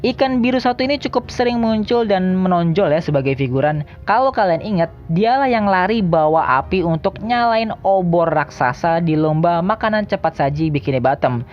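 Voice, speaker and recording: A woman's voice: lowish voice, animated, moderate pace, few pauses, dull audio. A woman narrates a countdown video in a casual, slangy, jokey way. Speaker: video host. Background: background music.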